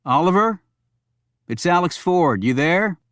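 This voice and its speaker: A man's voice: sits high, expressive, measured pace, fairly bright tone, no echo, studio quality, voice pushed up. Man reading an easygoing, laconic agent. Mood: friendly. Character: easygoing, friendly male Secret Service agent.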